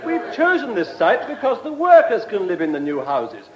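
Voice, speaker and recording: A prim English civil servant in a radio play. His voice is extraordinarily high and animated, pompous and flustered, in a roomy echo. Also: moderate pace. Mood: officious. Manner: formal, pompous, protesting. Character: prim, officious government official, pompous yet flustered. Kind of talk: British radio drama acting. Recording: roomy, echoing radio drama studio.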